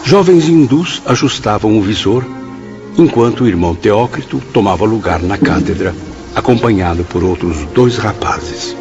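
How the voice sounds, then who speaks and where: A Brazilian man giving calm, literary first-person narration as a character in a radio drama. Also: on the low side, normal speed. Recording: muffled, loud music behind.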